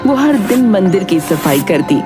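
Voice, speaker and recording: A woman storyteller narrates a children's moral tale from a script. Her medium-pitched voice moves expressively up and down, with music clearly underneath. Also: sing-song, measured pace.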